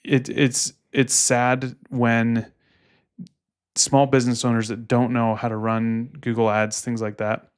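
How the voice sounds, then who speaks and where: A man's voice: medium pitch, level tone, measured pace, clear, resonant tone. A man co-hosting a podcast, explaining things casually and offering practical advice. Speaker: podcast co-host and Google Ads specialist. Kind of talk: casual advisory podcast talk.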